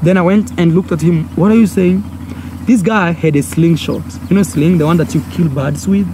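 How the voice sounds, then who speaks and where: Young Kenyan vlogger, Swahili native, animated storyteller with a fairly high voice, filmed outdoors with clear background noise. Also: male voice, moderate pace. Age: young adult. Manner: animated, acts out voices and gestures. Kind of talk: storytelling to camera. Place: outdoors by a brick wall. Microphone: phone or camera held close. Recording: outdoor noise, village sounds.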